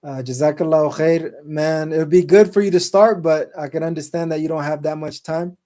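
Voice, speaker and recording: Mellow-voiced man hosting a chatty live stream. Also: fairly high pitch, a little fast. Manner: relaxed, rambling with many fillers and restarts; sprinkles Islamic phrases. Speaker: show host. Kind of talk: casual live-stream chat reading comments. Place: Salt Lake City, Utah.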